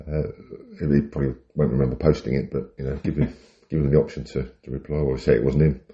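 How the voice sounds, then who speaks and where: Very deep-voiced British man, wry storyteller. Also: flat delivery, fast talker, mellow, dull audio. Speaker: podcast co-host. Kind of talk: casual chat, telling anecdotes.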